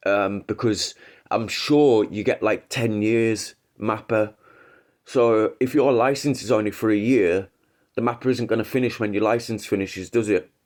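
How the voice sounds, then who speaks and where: A man with a stuffy, congested voice from a cold, pitched a little low, talking casually on a livestream. He rambles, stops to restart his sentences, and gives his opinions without holding back. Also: a little mellow. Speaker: livestream host.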